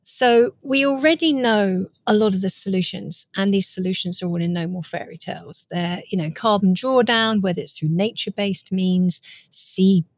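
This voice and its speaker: A woman's voice: medium pitch, moderate pace, no echo. A woman, speaking as an author being interviewed, explaining ideas in an earnest, articulate way. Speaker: author and guest.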